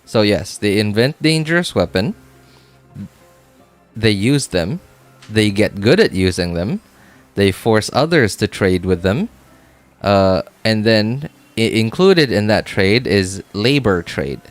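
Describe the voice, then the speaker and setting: A man's voice: lowish voice, expressive, slow pace, faint music behind. A man chatting casually on a game livestream, thinking aloud, repeating himself and often checking in with "right?".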